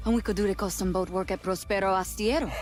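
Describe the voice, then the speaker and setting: A woman's voice: medium pitch, fairly even intonation, fast, faint music behind, natural voice. A woman playing a practical, direct rebel ally with a matter-of-fact manner in a video game cutscene. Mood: decisive.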